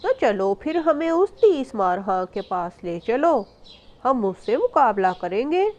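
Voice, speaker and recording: A woman's voice: on the high side, sing-song, unhurried, a little mellow. A woman voicing a sly, suspicious younger bird of prey in a children's cartoon, mocking and menacing, on dull audio. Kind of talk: Hindi children's cartoon villain acting.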